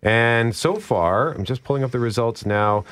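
A man's voice: medium pitch, moderate pace, fairly bright tone, smooth. A man with a Canadian accent hosting a radio show, bantering and reading items aloud.

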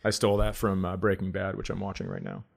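Man, comedian, slightly low voice, casual podcast banter, deadpan delivery, from northern United States. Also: fast, vocal fry. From northern United States, near Canada.

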